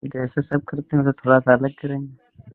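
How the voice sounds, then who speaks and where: A man's voice: medium pitch, monotone, normal speed, no echo. A man chatting casually and intimately on a phone call, in a coaxing, teasing manner. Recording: tinny, band-limited phone call recording.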